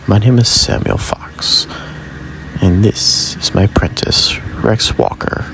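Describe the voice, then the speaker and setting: A man plays a solemn male Jedi master in a sci-fi audio drama. The voice is low and creaky, formal and courteous, over noisy ambience. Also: steady tone, slow.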